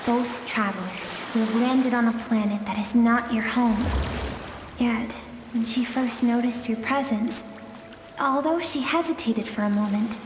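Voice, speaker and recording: Woman voicing an echoey, high-voiced playful spirit girl. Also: noisy background, natural voice. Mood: musing, wistful. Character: playful inner spirit girl, a wistful mysterious guide. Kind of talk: scripted video game dialogue. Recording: echoey hall-like reverb over music.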